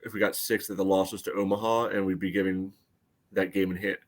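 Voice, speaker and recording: Lower-voiced man in casual sports talk. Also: level tone, brisk. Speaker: soccer podcast panelist.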